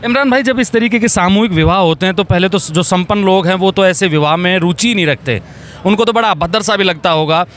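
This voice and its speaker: A man's voice: high-pitched, brisk, fairly bright tone. A man working as a reporter, putting questions in a field interview.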